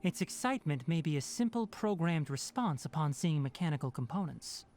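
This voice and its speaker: A man voicing a young, calm and pragmatic fox-eared ranger mentor in a video game, speaking in a measured, precise, articulate way. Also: a little high, noisy background. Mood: explaining, calm.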